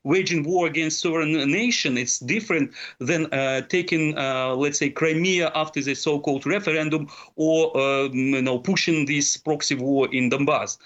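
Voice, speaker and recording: A man from Donetsk in Ukraine, a native Russian speaker, speaking as a guest analyst in a panel discussion, halting, with many fillers and restarts. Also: on the high side, a bit bright, muffled recording.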